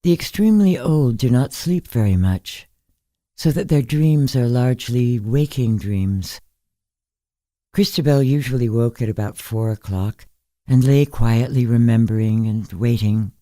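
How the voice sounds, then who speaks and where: Slow, very mellow man narrating fiction. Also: mid-range voice, no echo. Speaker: story narrator. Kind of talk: literary audiobook narration of fiction.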